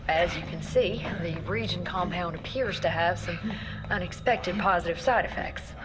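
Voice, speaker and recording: Game role: actress as clinical woman scientist, dry and articulate, lowish voice, noisy ambience behind. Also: normal speed, lightly acted. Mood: flustered, pointed. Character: brisk, brainy female scientist, dry and composed. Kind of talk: dramatic video game acting.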